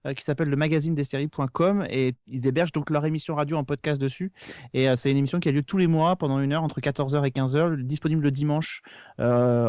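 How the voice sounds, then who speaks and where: A man with a fairly high voice talks quickly and enthusiastically as a podcast guest. He explains things eagerly and casually, in a dry, close recording. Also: darkish tone, no room sound. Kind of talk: casual talk, recommending shows.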